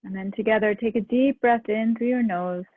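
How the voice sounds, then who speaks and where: A woman leading a guided meditation and breathing practice, speaking softly and soothingly. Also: fairly high pitch, no room sound. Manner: slow, soft and soothing. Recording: dry, phone-like sound.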